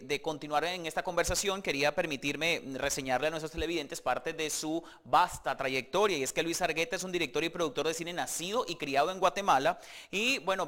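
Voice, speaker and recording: A man's voice: a little high, a bit bright. A man hosting a TV interview, speaking in formal, elaborate sentences.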